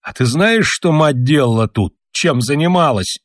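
A man voicing a bitter, wounded soldier father who reproaches his family and shouts at them. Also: on the low side, moderate pace, no room sound, clean studio sound, natural voice. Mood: angry.

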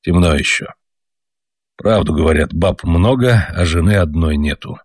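A man voicing a bitter, wounded soldier father who reproaches his family and shouts at them. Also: low-pitched, normal speed, husky, no room sound, studio quality. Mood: gloomy.

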